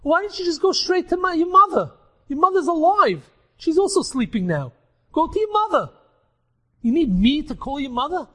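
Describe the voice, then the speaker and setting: A flustered, devout man whose questions and worries tumble out with climbing pitch, as a storyteller puts him on. The voice sits very high for a man and keeps rising when he presses a point. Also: sing-song, moderate pace, pushed and bright, big-room sound, heavily acted. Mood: puzzled, pressing. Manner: exclaiming, pleading, rising pitch.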